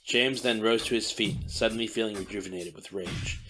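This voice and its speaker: A man reading a dark audiobook story as narrator, in a steady descriptive manner. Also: lowish voice, brisk, slight background noise. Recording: narration over loud music bed.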